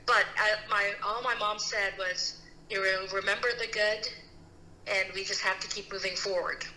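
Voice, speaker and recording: A woman's voice: medium pitch, steady tone, moderate pace, bright, forceful tone. A middle-aged woman from the Philippines, a guest telling her personal story in an earnest, casual way with many restarts. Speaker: guest, CEO and founder. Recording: roomy with faint noise.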